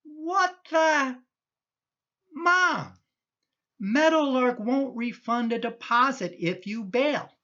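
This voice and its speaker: A woman's voice: high voice, expressive, takes it slowly, long pauses, pushed and bright, highs cut off, full character voice. A woman playing an exasperated, protective middle-aged son in a radio play, indignant and emphatic in manner.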